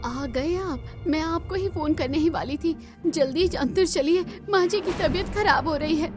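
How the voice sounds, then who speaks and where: A woman's voice: sits high, measured pace, music in the background, voice pushed up. A woman voicing a worried, dutiful young wife in a cartoon moral story, speaking earnestly and respectfully. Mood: alarmed.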